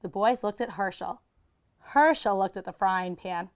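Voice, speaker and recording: A woman's voice: medium pitch, a little slow, clear, resonant tone. A woman reading a children's picture book aloud like a teacher, expressively and with dramatic emphasis.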